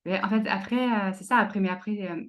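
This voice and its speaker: A woman's voice: mid-range voice, fairly even intonation, a little fast. A woman with a slight Canadian French accent, a creative coach chatting in an interview, full of hesitations and restarts. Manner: hesitant, many fillers and restarts. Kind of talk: casual conversational interview.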